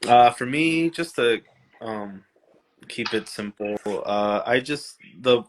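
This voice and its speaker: A man on a livestream video call, talking casually in a shy, halting way with many restarts. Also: mid-range voice, low-quality recording. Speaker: musician, livestream guest.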